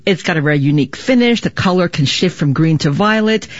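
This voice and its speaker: A woman's voice: sits low, animated, fairly quick, no echo. A woman gives a live business report in a conversational way, explaining as a correspondent. The sound is dry and somewhat muffled. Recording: dull lo-fi audio.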